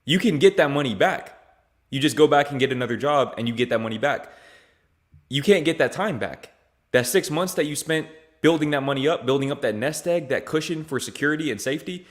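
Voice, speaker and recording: A young man answering his viewers' questions in a loose, easygoing way. He speaks at an ordinary, mid-range pitch. His slang and repeated phrases keep it sounding like a chat with a friend. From Louisiana, raised in Texas. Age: young adult. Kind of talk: casual Q&A vlog.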